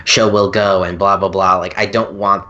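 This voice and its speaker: A woman's voice: extremely low pitch, flat delivery, normal speed, a bit bright, highs cut off. A woman in a casual fan discussion on a podcast, talking in an earnest, hesitant, rambling way. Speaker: podcast co-host or guest.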